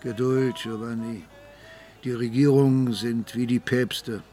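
An actor playing an older, pious and patient Sicilian mafia boss in hiding in a radio crime drama, measured and sententious. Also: male voice, mid-range voice, steady tone, dark tone, soft background music, natural voice.

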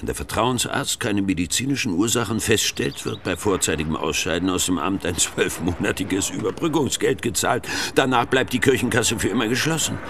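Radio play casting: male actor as pious, evasive church official, low unctuous preacherly voice, noticeable background noise. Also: brisk, natural voice. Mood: pious, aloof. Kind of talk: German radio drama acting.

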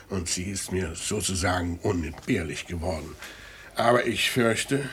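A man acting an aging, imperious merchant father in a classic radio drama, speaking in a measured, formal way that can flare into indignation. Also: fairly low pitch, husky. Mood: weary, pleading.

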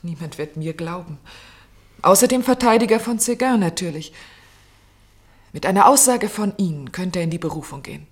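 A woman's voice: fairly low pitch, animated, normal speed, pauses often, natural voice. A woman playing a determined, idealistic woman in a German radio drama, speaking earnestly, insistently and articulately. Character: determined, idealistic woman pleading to save a condemned man.

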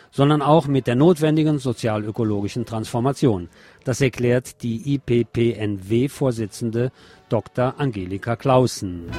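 A man's voice: mid-range voice, a little mellow, dry recording. A man presents scripted political news on the radio in a mid-range voice. He reads reports and commentary. The sound is very dry, with no audible room.

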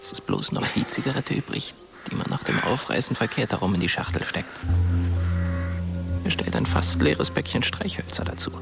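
An actor playing a dead teenage boy who narrates a German radio drama as a wry, wistful ghost in a hushed, measured way. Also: lowish voice, huge pitch swings, vocal fry, full character voice. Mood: wistful, observant. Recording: music under the voice.

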